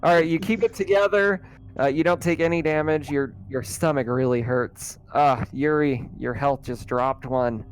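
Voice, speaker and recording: A man's voice: a little high, steady tone, moderate pace, clean tone, low-quality recording. A man casually narrating and refereeing a tabletop roleplaying game as game master. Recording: noticeable background noise.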